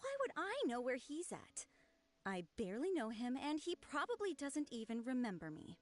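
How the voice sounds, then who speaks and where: Huffy, high-voiced young lovelorn woman. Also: huge pitch swings, moderate pace, no room sound. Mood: defensive, huffy. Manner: huffy, dramatic, defensive. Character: young female researcher, lovelorn, defensive, indignant. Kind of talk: anime-style video game voice acting.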